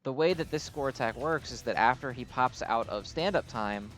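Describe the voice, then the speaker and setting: A man hosts a game video in a mid-pitched voice over music. He talks casually and off the cuff, working through explanations in a hesitant, rambling way. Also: moderate pace, a bit bright. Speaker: host of a mobile game video. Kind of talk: casual unscripted game commentary.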